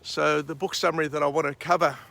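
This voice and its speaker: A middle-aged Australian man with a croaky voice after a night out, hosting a rambling outdoor morning vlog and book summary at a vineyard. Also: on the high side, level tone, bright, forceful tone. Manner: slow, rambling, frequent uh and um. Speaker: vlog host.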